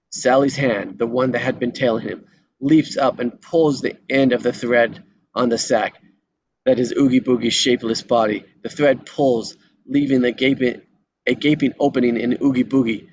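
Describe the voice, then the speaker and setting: A man's voice: medium pitch, muffled recording, a little hiss or hum. A man reading scripted action descriptions as narrator at a screenplay table read.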